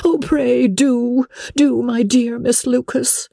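A woman's voice: on the high side, fairly even intonation, slow, vocal fry, dark tone, no echo, clean studio sound, pitched above the performer's own voice, full character voice. A woman narrator voicing a fretful, melodramatic middle-aged mother who complains plaintively in a classic novel reading. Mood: melancholy pleading. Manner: doleful, wailing complaint.

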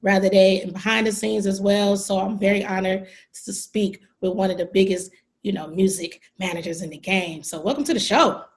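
A woman's voice: medium pitch, steady tone, fairly quick. A woman hosting a podcast interview, casual and full of praise and slang. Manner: warm, effusive praise and slang. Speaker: podcast host.

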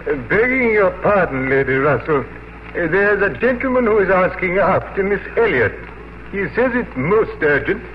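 Casting: obsequious household servant, high-pitched and animated, halting formal manner, old radio drama. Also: male voice. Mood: deferential. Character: deferential, hesitant manservant of a lady. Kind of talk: 1950s radio drama acting. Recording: dull old radio, faint noise.

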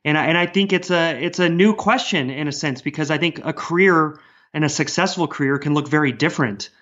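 A man's voice: a little high, fairly quick, a bit bright. A man speaking earnestly and discursively as a guest author in a casual podcast conversation. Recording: dull, lo-fi sound.

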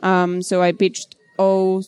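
A woman from Bulgaria in her thirties, a startup founder answering interview questions in a measured, businesslike way. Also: mid-range voice, completely flat delivery, darkish tone, smooth, dry recording.

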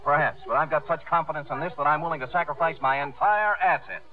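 An actor playing a brash, confident young showman and promoter in a 1950s radio drama, pitching insistently like a salesman. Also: fast talker, lightly acted. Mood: brash, eager. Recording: tinny, band-limited 1950s radio recording.

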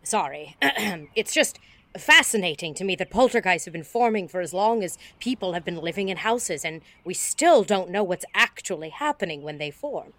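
A woman's voice: on the low side, lively intonation, moderate pace, fairly bright tone, no echo. A woman playing an earnest, fascinated paranormal investigator in an audio drama, explaining things like a documentary narrator. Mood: sheepish, fascinated.

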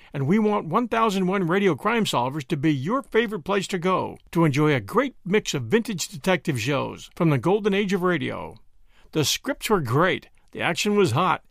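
Gravelly podcast host with a lively voice. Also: male voice, a little high, dry recording, clean studio sound. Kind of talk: scripted podcast intro.

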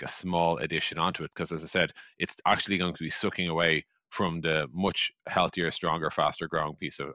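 A man's voice: low voice, sharp tone, dry recording, studio quality. A man talking casually and emphatically as a co-host in a business discussion, peppering his points with filler words. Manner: conversational, emphatic, peppers talk with "like" and "you know". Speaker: podcast co-host and software executive.

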